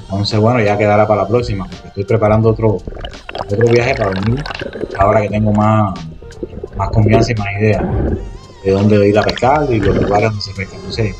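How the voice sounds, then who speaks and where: A man's voice: lowish voice, little pitch movement, fairly quick. A man narrating his own diving and spearfishing footage in a casual voice-over, rambling and speaking straight to his viewers. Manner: rambling, restarts often, addresses viewers directly. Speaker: spearfishing vlogger. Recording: voice-over with loud background music.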